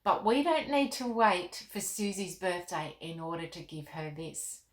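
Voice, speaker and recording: A woman voicing a kind, fatherly king in a children's storybook, gentle and measured. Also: lowish voice, animated, echoey room. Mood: kindly amused. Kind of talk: storybook read-aloud.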